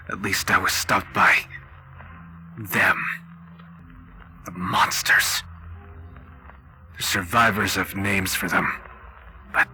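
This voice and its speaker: A man voicing a weary, haunted war-veteran survivor in a halting, grave, half-whispered game roleplay monologue. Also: low voice, bright, forceful tone, pitched below the performer's own voice, full character voice. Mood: shaken, then haunted, uneasy. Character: haunted, weary male war-veteran survivor recording his account on tape. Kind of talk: slow dramatic post-apocalyptic horror game roleplay monologue.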